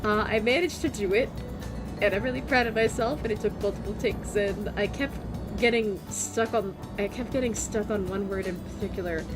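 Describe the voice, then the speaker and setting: A woman's voice: medium pitch, normal speed, over music. An American woman with a strained, worn-out voice, chatting casually and rambling with lots of fillers while streaming a game. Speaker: vtuber streamer. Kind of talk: casual chat while gaming.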